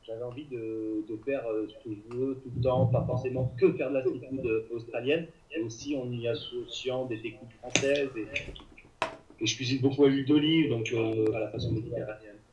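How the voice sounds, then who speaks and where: Rambling French chef on a call. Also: male voice, mid-range voice, fairly quick, dull audio. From France. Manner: relaxed, rambling, with many fillers and restarts. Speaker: chef and restaurant owner, guest. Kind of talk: casual interview over a live video call. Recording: echoey room with noise.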